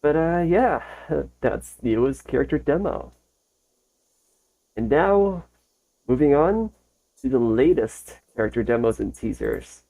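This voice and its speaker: An excitable host giving casual, gushing fan commentary in a reaction video. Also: mid-range voice, rough recording.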